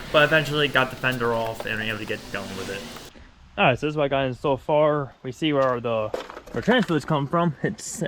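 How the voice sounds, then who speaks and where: A man with a stuffy, congested voice talks through his work on a wrecked car in a garage. He rambles informally and corrects himself mid-sentence. His pitch sits in an ordinary middle range, and faint vehicle and shop sounds hang in the background. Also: fairly bright tone, slight background noise. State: congested after being sick. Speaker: vlogger working on his race car. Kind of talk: casual how-to narration.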